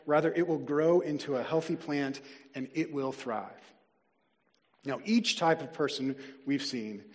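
Male pastor, earnest deliberate sermon delivery, slightly raised pitch, church hall reverb. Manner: earnest preaching, slows to stress key words. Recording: long reverb of a large hall.

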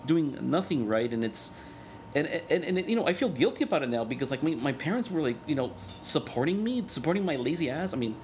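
A man in his thirties whose first language is Spanish, speaking as a guest in a candid interview, earnest and reflective, often halting and restarting. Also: fairly high pitch, lively intonation, a little mellow, a little hiss or hum. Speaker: guest, cartoonist and organizer.

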